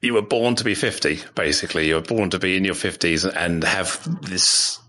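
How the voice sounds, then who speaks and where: A man in his fifties with a very low, husky voice and a bright, forceful edge. He interviews warmly in manner, affirming the guest and tagging questions onto his remarks. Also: normal speed. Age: 50s. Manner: encouraging, affirming, rhetorical tag questions. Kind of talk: conversational interview.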